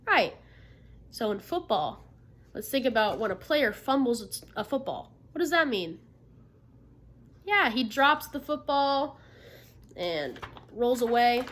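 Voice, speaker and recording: A woman reading a picture book aloud to children like a teacher, expressively, pausing to put encouraging questions to her listeners. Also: on the high side, sing-song, fairly bright tone. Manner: encouraging, checks understanding with frequent questions. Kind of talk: interactive storybook read-aloud with questions to the listeners.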